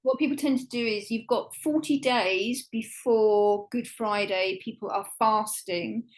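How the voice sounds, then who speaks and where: A woman's voice: fairly high pitch, steady tone, measured pace, low-quality recording. Chatty woman guest. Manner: chatty and enthusiastic, many "um" fillers. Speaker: travel guidebook writer, guest. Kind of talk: conversational podcast interview.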